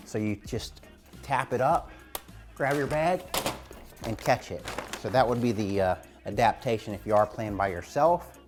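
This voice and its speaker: A man's voice: mid-range voice, normal speed, over music. Man, gym teacher host, casual demonstration, encouraging, instructive, indoor home setting. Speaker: gym teacher hosting an exercise show. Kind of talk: casual game demonstration with coaching tips.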